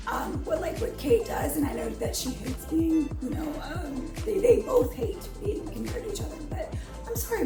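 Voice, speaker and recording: A raspy, hoarse woman in her fifties giving blunt, rambling opinions at home as a vlogger, with many ums. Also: very high-pitched. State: hoarse from allergies. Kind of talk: opinionated casual commentary. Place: home during quarantine. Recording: echoey room with music behind.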